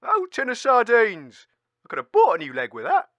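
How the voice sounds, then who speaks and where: Casting: indignant, easily fooled man acted by a man, comic radio grumbler, swooping high voice, stumbling rant. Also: huge pitch swings, a bit bright, no room sound, exaggerated voice. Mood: indignant, deluded. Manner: rambling, halting complaint. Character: gullible, indignant one-legged wasteland man.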